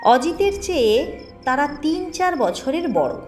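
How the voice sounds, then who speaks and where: Casting: female audio story narrator, high voice, unhurried delivery, faint music underneath. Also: sing-song, darkish tone. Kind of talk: scripted first-person ghost story narration.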